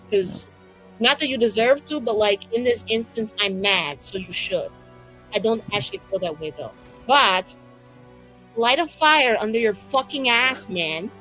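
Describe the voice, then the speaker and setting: A woman's voice: a little high, pushed and bright, low-quality recording. A woman playing a brash, affectionate, sweary heroine in a fantasy tabletop game, chatty and rambling. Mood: scolding.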